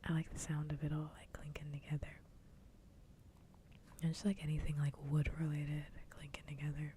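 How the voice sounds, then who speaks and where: A woman livestreamer chatting casually in a soft ASMR style, mostly in a whisper, close to the microphone. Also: completely flat delivery, normal speed. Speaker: livestreamer host. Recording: faint background noise, low rumble.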